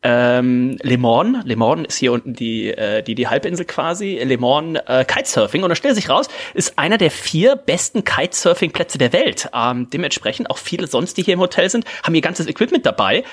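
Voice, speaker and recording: This man co-hosts a podcast in a casual, chatty way. His voice is slightly husky, with a pitch that rises and falls a lot, and he is recording from a hotel room. He tells stories with plenty of fillers. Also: animated, fairly quick, hardly any pauses, a bit bright. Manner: chatty, storytelling. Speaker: podcast co-host. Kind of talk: casual chat.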